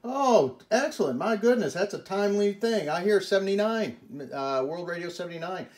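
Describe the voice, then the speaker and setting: A man's voice: sits high, expressive, normal speed, a little mellow, a little hiss or hum. A man chatting casually on CB radio in a polite, folksy, exclaiming way. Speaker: CB radio operator. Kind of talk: casual radio contact chat.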